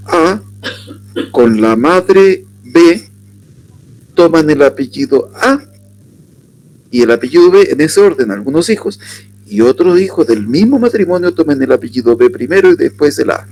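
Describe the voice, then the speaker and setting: A man from southern Chile with a Chilean accent, giving an unhurried workshop lecture and asking his audience questions. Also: mid-range voice, darkish tone, poor audio. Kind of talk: lecture on surnames.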